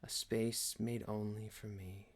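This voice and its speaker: Guy doing calm polite boss asmr, gravelly creaky voice, super slow n hushed, soothing, bit of background noise. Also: lowish voice. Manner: hushed, deliberate, often near-whispered, soothing. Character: calm, genteel male boss leading a relaxation ritual. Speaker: ASMR roleplay performer. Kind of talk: ASMR roleplay, guided relaxation.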